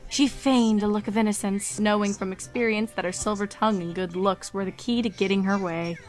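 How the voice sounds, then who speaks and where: A woman's voice: mid-range voice, steady tone, brisk. Woman narrating a story over music.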